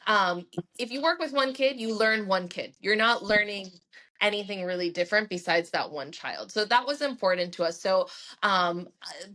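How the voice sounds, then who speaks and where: A woman's voice: medium pitch, moderate pace, bright, forceful tone. A woman whose first language is Spanish, speaking fluent English in an articulate, explanatory way as an interview guest. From Miami area, Cuban heritage. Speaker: guest, behavior analysis practice founder.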